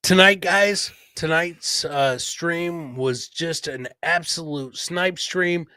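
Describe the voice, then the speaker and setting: Man, podcast host, slightly high pitch, punchy bright tone, casual and effusive, profane, dry close mic. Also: takes it slowly, clean tone, dry recording. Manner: effusive, profane, calls guests brother. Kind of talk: casual livestream chat with plugs and shout-outs.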